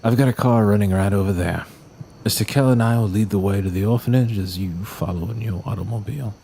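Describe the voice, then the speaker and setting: A stuffy, formal official, played by a man, with a low, gravelly voice. he talks in a slow, pompous manner. faint noise sits in the background of the drama. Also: warm tone. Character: stately formal middle-aged male child services official.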